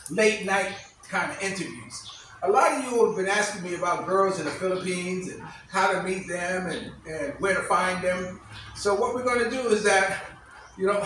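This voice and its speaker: Joking host with a high voice in an echoey room. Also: male voice, a bit bright, distant mic, slight background noise. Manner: joking, teasing, halting with many fillers. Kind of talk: casual mock late-night interview. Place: room with a fan running.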